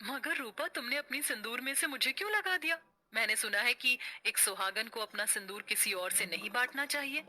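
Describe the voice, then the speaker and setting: A woman's voice: a little high, lively intonation, fairly quick, sharp tone, heavily acted. A woman voicing a trusting, outspoken young modern city wife in a dramatic cartoon story. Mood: curious.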